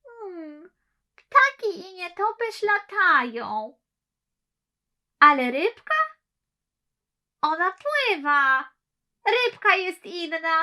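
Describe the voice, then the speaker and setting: A woman voicing a small, clever, curious male chameleon in a children's audiobook, speaking slowly and deliberately, as if thinking aloud. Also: very high-pitched, big pitch swings, bright, forceful tone, voice pushed up, heavily acted. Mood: thoughtful.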